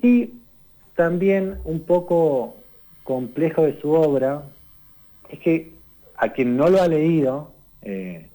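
A man, giving a conversational radio literature column and explaining things unhurriedly, often pausing on "eh" and tagging his sentences with "¿no?". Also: on the high side, lively intonation, warm tone, poor audio. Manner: unhurried and explanatory, tags lines with "¿no?" and "eh".

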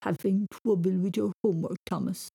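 A woman's voice: medium pitch, steady tone, brisk, vocal fry, dark tone, husky. A woman voicing a spoiled, devious little girl in a storybook, with mock baby talk and theatrical whining. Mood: mocking, babyish.

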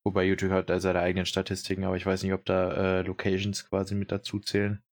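Lowish rambling young man, casual chat. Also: monotone, fast talker. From Brandenburg, Germany. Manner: rambling, hesitant, many fillers. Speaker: podcast co-host.

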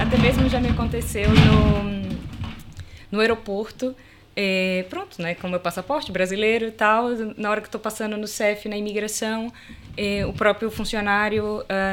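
Chatty Bahian Brazilian woman. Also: medium pitch. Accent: Brazilian Portuguese, Bahia. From Salvador, Bahia, Brazil. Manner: relaxed, chatty, laughs easily, tells anecdotes. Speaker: podcast guest, lawyer. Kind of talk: casual chat.

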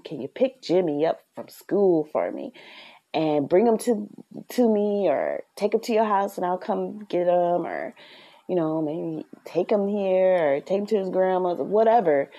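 Soft-spoken, earnest woman podcast host, a bit low-pitched, chatty parenting advice. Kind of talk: casual advice talk.

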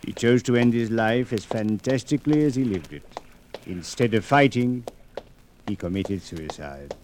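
Guy playing a formal dry police chief, low measured voice, old radio show with a bit of hiss. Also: a little mellow, lightly acted. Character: measured, dryly amused Cairo police chief. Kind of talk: 1940s-50s radio drama acting. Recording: slightly noisy old radio recording.

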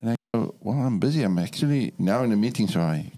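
Man, South African accent, middle-aged, lowish pitch, relaxed dark tone, unhurried, self-deprecating interview chat. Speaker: interview guest, missionary training director.

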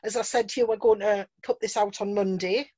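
A woman interviewing a songwriter, chatty and lively when she quotes herself. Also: medium pitch, brisk, slightly rough, no room sound. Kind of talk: casual chat.

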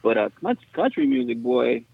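A man's voice: mid-range voice, sing-song, a little fast, gravelly, husky, dry recording. A man from Texas, a songwriter, chatting casually on a phone call in a laid-back, drawling way. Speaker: songwriter guest. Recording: dull, lo-fi phone line.

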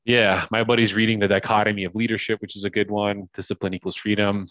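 A man's voice: on the low side, level tone, fast, no room sound, muffled recording. A young man, a podcast guest, talking casually in a rambling, upbeat way with lots of fillers. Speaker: podcast guest, crypto investor.

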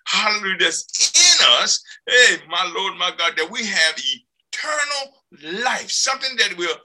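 A man preaching a fervent sermon, punctuating nearly every phrase with hallelujah and swinging between loud and soft. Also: high voice, very animated, a little slow, low-quality recording.

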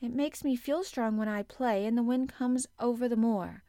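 Lady doing a nervous little girl for an audiobook, high voice, stammery, polite and earnest. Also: lively intonation, darkish tone, no echo, studio quality, natural voice. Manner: halting, tremulous, pleading. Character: anxious, earnest, timid young girl, stiffly polite. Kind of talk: audiobook character acting.

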